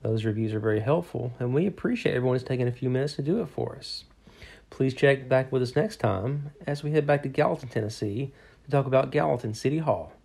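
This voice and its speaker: A man co-hosting a podcast, reading a scripted history in a steady, measured way. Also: medium pitch, vocal fry, a little hiss or hum. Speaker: podcast co-host. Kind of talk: scripted narration.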